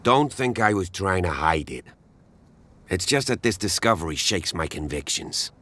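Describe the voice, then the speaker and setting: An actor playing a gruff, guarded small-town policeman in a video game, speaking in a measured, folksy, wary way. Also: deep voice, lively intonation, pushed and bright. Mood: defensive. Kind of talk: scripted game dialogue.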